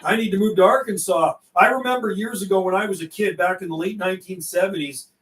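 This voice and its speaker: A man's voice: sits high, fast talker, a bit bright, echoey room, far from the mic. A middle-aged man chatting casually over CB radio on a livestream, folksy and given to repeating himself. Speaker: CB radio operator and livestream host.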